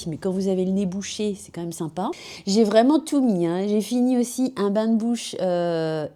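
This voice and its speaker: A woman from France, a beauty vlogger, chatting casually about finished products at home, slightly hoarse from a cold, with lots of fillers and self-corrections, on a small clip-on mic. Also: mid-range voice, warm tone. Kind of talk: casual product review chat. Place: at home with a machine running nearby. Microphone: small magnetic clip-on mic.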